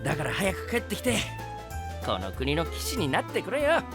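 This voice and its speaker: A woman voicing a brash, excitable little boyish sidekick with rough slangy speech in an anime-style drama. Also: fairly low pitch, normal speed, music in the background. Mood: earnest.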